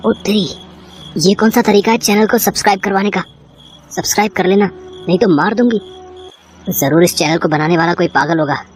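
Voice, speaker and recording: A woman's voice: mid-range voice, brisk, long pauses, a little hiss or hum, pitched below the performer's own voice, lightly acted. A woman voicing a young man in a horror story, talking to himself in short, repeated, reactive phrases. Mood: scornful disbelief. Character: young man, scoffing horror fan turned frightened victim.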